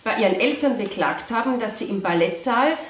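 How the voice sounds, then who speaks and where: A woman's voice: on the high side, lively intonation, brisk, slight background noise, close to an everyday voice. An actress playing an educated, formal and probing female reporter in a radio drama. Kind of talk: radio drama press questioning. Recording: roomy, reverberant press room sound.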